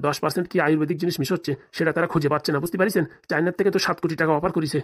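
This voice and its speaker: Woman playing low, rapid-talking male schemer. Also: steady tone, husky. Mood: boastful. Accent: rural Bengali. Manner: persuasive, boastful. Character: smooth-talking scheming male election fixer. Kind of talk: comedy cartoon dialogue.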